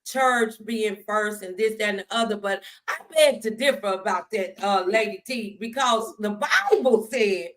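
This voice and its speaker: A woman's voice: mid-range voice, normal speed, hardly any pauses, poor audio. A woman hosting an upbeat talk show interview, speaking in an effusive, encouraging and colloquial way.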